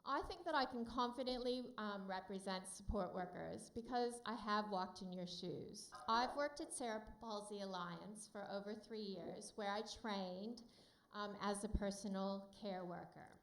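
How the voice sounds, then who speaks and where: A woman with an American accent giving a friendly, loud conference talk with many fillers to a room of support workers. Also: mid-range voice, normal speed, reverberant, poor audio. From United States. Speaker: company speaker at a summit. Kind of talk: conference presentation.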